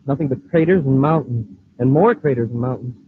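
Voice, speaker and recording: A man playing a boyish, eager space cadet sidekick, excitable and deferential, in 1950s radio serial style. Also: mid-range voice, fairly quick, slight background noise, close to an everyday voice. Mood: eager, chatty. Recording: tinny, band-limited old radio recording.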